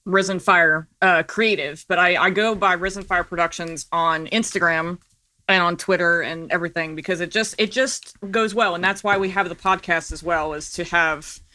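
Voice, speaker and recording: A woman speaking as a guest in a casual interview, explaining things loosely with halting asides that trail off. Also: on the low side, steady tone, pushed and bright. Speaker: podcast guest and filmmaker.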